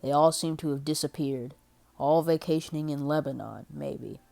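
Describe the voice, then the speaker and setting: A grim, brooding vigilante detective voiced by a woman narrates his inner thoughts from a comic book. The voice is very low and dark in tone. The delivery is terse, solemn and introspective. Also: moderate pace, vocal fry.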